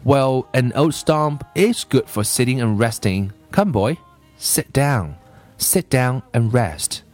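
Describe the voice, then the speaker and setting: A loving female apple tree from a storybook, as a male reader plays her, speaks with gentle, apologetic generosity. The pitch swings expressively as she offers all she has. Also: animated, slow pace, faint music behind. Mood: tender. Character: loving, self-giving female apple tree, growing old and weary. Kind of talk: storybook character voice.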